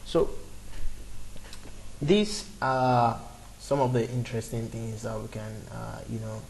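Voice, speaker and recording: A man's voice: mid-range voice, fairly even intonation, slow pace, creaky, darkish tone, a little hiss or hum, low-quality recording. A man in his twenties teaching a beginner programming lesson off the cuff, talking through each step with fillers and restarts. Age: 20s. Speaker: programming tutor. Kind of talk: screen-recorded coding lesson.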